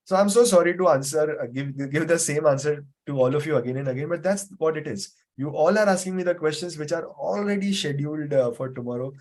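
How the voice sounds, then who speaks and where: A man's voice: a little high, fast, hardly any pauses, darkish tone. A man teaching a live online memory class over a video call, patiently explaining and repeating points with frequent fillers. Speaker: memory trainer.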